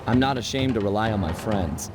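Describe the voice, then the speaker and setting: Man as a lively, cool young thief hero. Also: lowish voice, a little fast, close to an everyday voice. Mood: steady. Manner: wry, casual, self-assured. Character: cool, confident young male animal master thief hero. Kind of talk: video game hero acting. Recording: music behind the voice.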